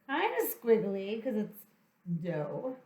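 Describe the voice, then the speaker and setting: A woman's voice: medium pitch, wildly swooping pitch, slow pace, darkish tone, roomy sound. A woman hosting a playful children's storytime, speaking to young children in an encouraging, sing-song way as they draw along. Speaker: children's storytime teacher and host.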